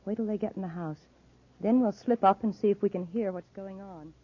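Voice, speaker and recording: An actress playing a cold, commanding, scornful criminal boss in a 1950s radio serial. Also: female voice, medium pitch, fairly quick, natural voice. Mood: wary, commanding. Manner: commanding, crisp orders, scornful. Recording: muffled old 1950s radio recording.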